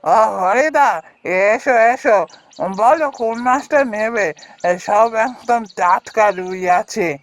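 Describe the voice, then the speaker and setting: A woman's voice: a little high, takes it slowly, no echo. A woman voicing an eager village fishmonger in a cartoon, with coaxing sales patter. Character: eager village fish seller, a man. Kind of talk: Bengali fairy-tale cartoon dialogue.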